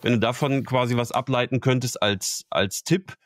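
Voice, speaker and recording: A man's voice: medium pitch, fairly even intonation, normal speed, dry recording. A man hosting an interview, asking long questions with frequent restarts. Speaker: podcast host.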